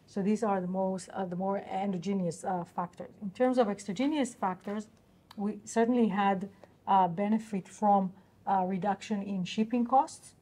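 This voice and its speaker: A woman speaks as a company executive on an investor call. Her voice is mid-pitched and soft-edged, with a mellow tone. She explains in a businesslike but halting way, feeling her way through each answer. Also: fairly even intonation. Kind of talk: unscripted earnings call answers.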